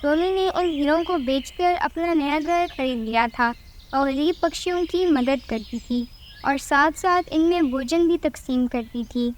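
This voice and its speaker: A woman's voice: high voice, unhurried, a little hiss or hum. A woman reading scripted narration for a children's cartoon moral story.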